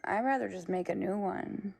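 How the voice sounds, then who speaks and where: A woman's voice: mid-range voice, moderate pace, gravelly, a little mellow. A woman from Florida livestreaming, thinking aloud and chatting casually while she works on a craft, with a rambling manner and casual swearing.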